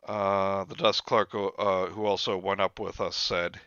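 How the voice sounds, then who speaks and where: A sarcastic man reads a book aloud and tears into it, mocking every line. His voice is bright and forceful, and it sounds dry and a bit dull, like a lo-fi home mic. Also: low voice, level tone, clean tone, no room sound. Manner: sarcastic, mocking, reads passages slowly then rants. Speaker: podcast host reading a book aloud. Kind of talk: comedic book-reading commentary.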